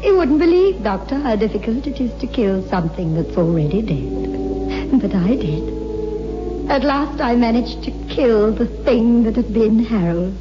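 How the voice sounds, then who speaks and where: A high-pitched, anguished widow in an old radio horror play. She is theatrical and intense, her voice swinging widely. The recording is muffled, with music behind it. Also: lively intonation, a little slow. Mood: haunted, drained. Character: anguished widow haunted by a cursed pendant. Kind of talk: 1960s radio horror acting.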